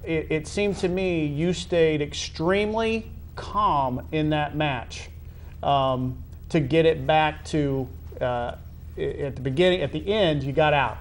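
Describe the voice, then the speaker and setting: A man hosting a sports interview, asking long conversational questions in halting, wordy phrasing with repeated words. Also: a little high, a little hiss or hum. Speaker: interview host.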